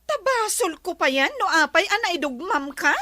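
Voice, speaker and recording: An actress in a radio drama playing a bossy, impatient, haughty young madam who snaps out orders. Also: female voice, very high-pitched, animated, moderate pace, sharp tone, exaggerated voice. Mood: scolding. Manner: imperious, snapping orders. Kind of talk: Ilocano radio drama acting.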